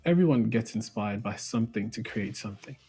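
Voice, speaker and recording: A man's voice: on the low side, animated, fairly quick, mellow, husky, soft background music. A young man hosting a casual interview, chatty and friendly, dropping short acknowledgements while his guest talks. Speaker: interviewer host.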